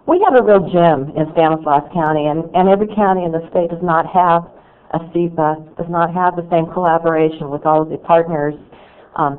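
A woman from a charity giving earnest thank-you remarks at a public meeting, restarting her sentences as she goes. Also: on the low side. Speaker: charity representative. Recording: tinny phone-like meeting audio.

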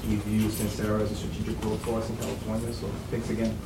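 Guy thanking a board, talks fast and kinda even, far off in an echoey room. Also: on the low side, heavy background noise. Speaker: vendor representative addressing a board. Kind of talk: brief informal thank-you remarks. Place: indoor meeting room.

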